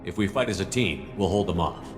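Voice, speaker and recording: A man playing a steady, decisive military squad commander in a video game, speaking plainly and directly. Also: low-pitched, brisk, over music.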